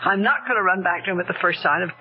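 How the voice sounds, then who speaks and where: A woman's voice: lowish voice, very fast, slightly rough. An actress playing a troubled, guarded married woman, anxious yet defiant, in an old radio drama. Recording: muffled old radio recording, music behind.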